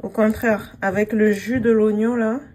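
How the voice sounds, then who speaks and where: A woman's voice: mid-range voice, fairly even intonation, a little slow, background noise. A woman vlogger casually talking the viewer through a homemade remedy, chatting to them directly like a friend. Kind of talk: casual how-to narration to camera.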